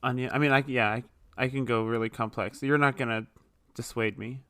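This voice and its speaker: A man's voice: mid-range voice, fairly even intonation, brisk, bright, forceful tone, smooth. A man playing in a tabletop podcast, chatting casually in a jokey, rambling way. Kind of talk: casual chat.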